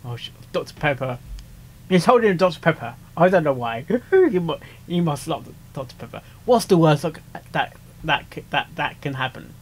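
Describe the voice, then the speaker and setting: Male reaction host: casual, halting, repetitive, animated, somewhat high voice. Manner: stammering, repeats words and interjects short asides.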